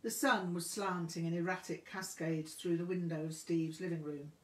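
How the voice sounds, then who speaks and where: A woman reading a memoir aloud as scripted narration, with measured, deliberate phrasing. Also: fairly low pitch, steady tone. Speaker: audiobook reader. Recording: roomy, echoing room sound.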